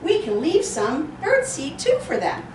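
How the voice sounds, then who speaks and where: Woman reader as a cheerful little girl, sing-song and lively, in a roomy space off-mic. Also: high voice, measured pace, slight background noise, full character voice. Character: cheerful little girl talking to her puppy. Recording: roomy echo, distant mic.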